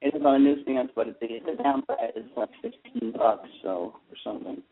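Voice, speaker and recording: Husky guy on a tinny phone line, wry and opinionated, chatting like a fan on a radio show. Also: mid-range voice, huge pitch swings, fairly quick. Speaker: talk show panelist. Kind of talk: casual soap opera fan chat.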